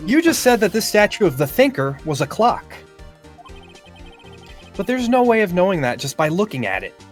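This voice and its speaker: A man's voice: sits high, big pitch swings, normal speed, soft background music. A man playing an earnest, snarky young defense lawyer in an amateur video game dub, declaring his points wryly. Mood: insistent.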